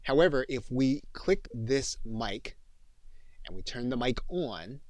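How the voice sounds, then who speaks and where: A man giving a software tutorial as an instructor, explaining methodically step by step. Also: medium pitch, lively intonation, slow, no room sound.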